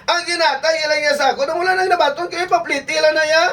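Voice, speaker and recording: A man's voice: very high-pitched, steady tone, fast, bright, forceful tone. A man with an Ilonggo accent playing a grumbling, defensive, tight-fisted working husband in a comedy skit, exclaiming and complaining. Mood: pleading, whiny. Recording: distant mic.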